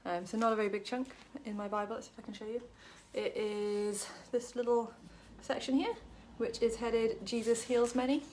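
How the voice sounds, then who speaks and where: A woman's voice: a little high, steady tone, moderate pace, few pauses, darkish tone, slight background noise, low-quality recording. A woman from Wales giving a casual, chatty Bible study talk to camera, full of ums and light jokes about herself. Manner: chatty, many ums, self-deprecating jokes.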